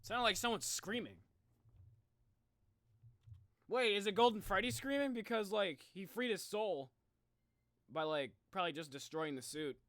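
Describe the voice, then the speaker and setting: A young man reacting on a live stream in casual, slangy chat, excitable and thinking out loud. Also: high voice, normal speed, no room sound. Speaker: streamer reacting to game videos.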